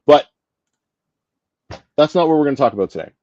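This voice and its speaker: Relaxed male host on a dull, dry recording. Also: on the high side, fairly quick, no room sound. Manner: relaxed and joking, with restarts and fillers. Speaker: podcast host. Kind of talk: casual interview chat.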